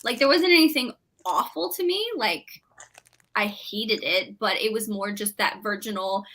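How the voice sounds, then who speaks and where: A woman's voice: mid-range voice, normal speed, pushed and bright. A woman in a casual book chat, talking emphatically and acting out her reactions as a panelist.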